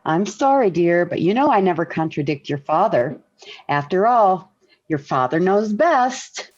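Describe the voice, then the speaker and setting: An actress playing a calm, wry mother and wife, soothing and gently firm, in 1940s radio sitcom style. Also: fairly low pitch, measured pace. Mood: calmly persuasive. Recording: muffled old 1940s radio recording.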